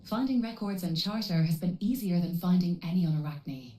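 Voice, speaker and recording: A woman playing a composed female AI handler in a video game, speaking in a measured, formal and clinical way. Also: lowish voice, expressive, warm tone, echoey room, distant mic, lightly acted. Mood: measured briefing. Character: composed female AI handler briefing mercenary runners. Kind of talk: scripted video game cutscene dialogue.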